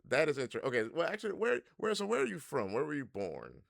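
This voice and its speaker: A man's voice: a little high, huge pitch swings, fast talker, gravelly, fairly bright tone, no echo, studio quality. A man hosting a podcast, chatting casually and playfully with his guest, often laughing. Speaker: podcast host. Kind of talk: casual interview chat.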